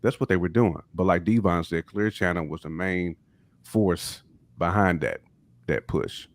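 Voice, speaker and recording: A man co-hosting a podcast, talking in a lively, casual way. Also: low-pitched, measured pace. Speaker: podcast co-host. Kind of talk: casual discussion.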